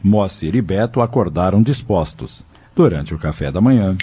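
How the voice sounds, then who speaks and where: A man's voice: low-pitched, lively intonation, moderate pace, dark tone, husky. A man narrating a scripted audio novel as its storyteller. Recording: dull lo-fi audio with music behind.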